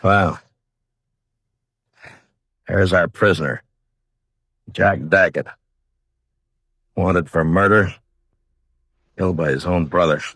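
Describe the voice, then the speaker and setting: A very low male voice, playing a gruff, weary American lawman in an old radio western. He is terse and commanding, sounding slightly husky and close in a dry studio. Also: fairly even intonation, takes it slowly, pauses often, a bit bright, no room sound, heavily acted. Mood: weary, bitter. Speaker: radio actor. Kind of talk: 1950s old-time radio western acting.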